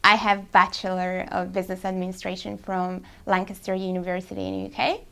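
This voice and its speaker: Bright, crisp young woman. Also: fairly low pitch, flat delivery, brisk. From Poland. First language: Polish. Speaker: market researcher. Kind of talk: casual self-introduction.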